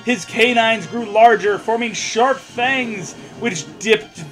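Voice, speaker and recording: A man's voice: sits high, takes it slowly, fairly bright tone, soft background music. A man co-hosting a comedy podcast, reading fan fiction aloud in a mocking, theatrical way with joking asides and stumbles over the text.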